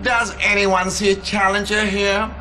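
An actor playing a smug, pompous mad scientist rival who cites rules in a video game cutscene. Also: male voice, high-pitched, flat delivery, a little slow, background noise. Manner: pompous, self-satisfied, citing rules.

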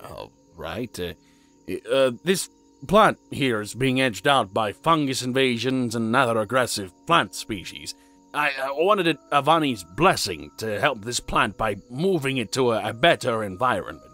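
A man's voice: mid-range voice, lively intonation, measured pace, pushed and bright, faint music behind. A man playing an earnest wood elf druid in a fantasy audio drama, hesitant and stammering. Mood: unsure.

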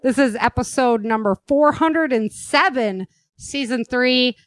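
A woman's voice: sits high, unhurried, no room sound. A woman hosting a podcast, chatting and interviewing in an enthusiastic, informal way. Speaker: podcast host. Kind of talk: upbeat casual interview chat.

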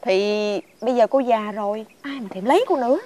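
A woman playing a gentle, lonely village woman in a fairy-tale drama, with a southern Vietnamese accent and a coaxing manner. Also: a little high, brisk, lightly acted. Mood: gently coaxing. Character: gentle, lonely village woman long awaiting her lover.

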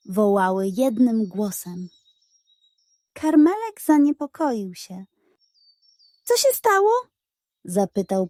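A woman storyteller narrating a scripted bedtime tale for children in an expressive style. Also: fairly high pitch, no room sound.

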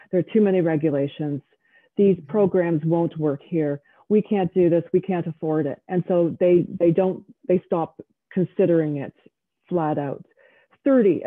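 A middle-aged woman speaking as an author on a panel, explaining in a measured, emphatic way over a video call. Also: on the low side, no room sound. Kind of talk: panel discussion answer. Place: home room with bookshelves. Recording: tinny, dry phone-like audio.

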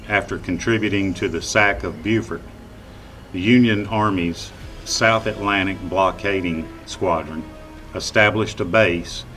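An older man presenting scripted history to camera, speaking in measured short phrases outdoors. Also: low-pitched, fairly bright tone. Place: outdoors among old concrete fort ruins.

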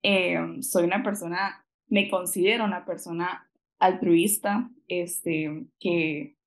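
A woman's voice: on the low side, fairly even intonation, takes it slowly. A woman in her twenties from Costa Rica with a Costa Rican accent, answering interview questions over a video call in a hesitant, laughing way. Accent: Costa Rican Spanish. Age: 20s. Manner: hesitant, many fillers, laughs often. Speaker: guest. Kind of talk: conversational interview.

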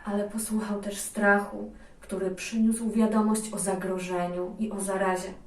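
A woman co-telling a children's story in a calm, explanatory, conversational way. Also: mid-range voice, moderate pace, reverberant, far from the mic. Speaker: co-storyteller in a children's tale series.

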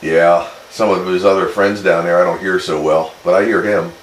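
A man's voice: low-pitched, fairly even intonation, moderate pace. A man chatting casually as a radio operator, with a folksy, wisecracking way of talking. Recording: distant echoey pickup with very loud noise.

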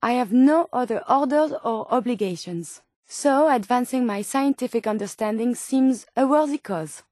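A woman's voice: fairly high pitch, normal speed, a little mellow, dry recording, clean studio sound, lightly acted. A woman voicing a polite, curious female robot scientist in a video game, with a French accent and formal, precise, clinical phrasing. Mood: curious, concerned. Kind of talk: video game companion dialogue lines.

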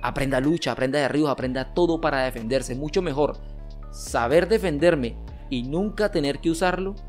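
A young man in his twenties, an olympic wrestler hosting his channel, reacting to viewer comments with emphatic, gesturing argument from a home setup on a boom mic. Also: normal speed, soft background music. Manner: emphatic, gestures a lot while arguing. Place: home streaming room with gaming chair. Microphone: studio mic on boom arm, headset.

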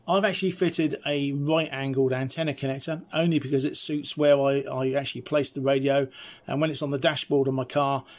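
A middle-aged man giving a casual, chatty, explanatory hands-on review as a presenter. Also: mid-range voice. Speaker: presenter reviewing radio gear.

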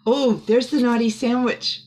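A woman's voice: a little high, steady tone, measured pace, a little mellow. A middle-aged woman reads a children's picture book aloud expressively, with casual asides to young listeners, in a small room.

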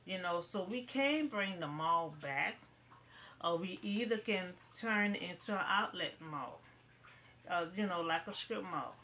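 A woman's voice: fairly low pitch, lively intonation, measured pace, bright, forceful tone, a little hiss or hum. A middle-aged woman talks casually to a webcam in her bedroom about local news, rambling and filling her sentences with "you know.". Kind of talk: casual commentary to camera. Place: bedroom at home, indoors. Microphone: webcam in front of her.

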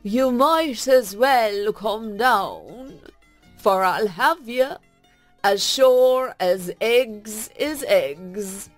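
A woman voicing a menacing, boastful male dragon in a children's story, loud and drawn-out in delivery. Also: a little high, lively intonation, soft background music.

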